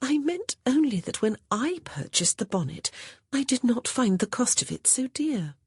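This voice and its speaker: A young society lady answers with sugary, innocent-sounding sweetness that hides her mischief, voiced by the audiobook narrator. Her voice is slightly breathy and bounces lively in pitch, close and dry. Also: moderate pace, dry recording. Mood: sly. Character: sly, sweet-talking young society woman.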